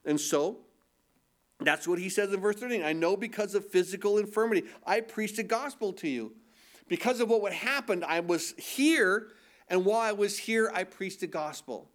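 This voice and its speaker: Nasal, high-pitched male pastor; casual preaching style that leans on questions to the congregation. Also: a bit bright. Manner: conversational preaching with rhetorical questions. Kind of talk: sermon.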